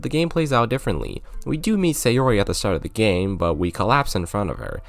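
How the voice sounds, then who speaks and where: A man's voice: on the low side, a bit bright. A man narrating a scripted video game review, with sarcastic, joking asides.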